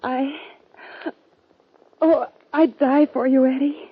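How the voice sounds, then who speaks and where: An actress playing a devoted, anxious young sweetheart in a 1950s radio western, ardent and melodramatic. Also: female voice, sits high, fairly even intonation, takes it slowly, dry recording. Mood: tender. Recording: dull, lo-fi 1950 radio recording.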